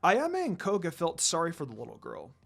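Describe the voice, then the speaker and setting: Expressive man reading a story, lively pitch. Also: fairly quick, creaky, faint music behind. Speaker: fan fiction reader.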